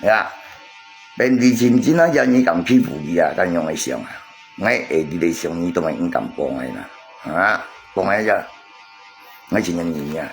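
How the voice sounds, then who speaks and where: A man's voice: sits low, lively intonation, unhurried, long pauses, faint music behind. A man chatting casually on a livestream, repeating himself and arguing his point to viewers. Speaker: live streamer.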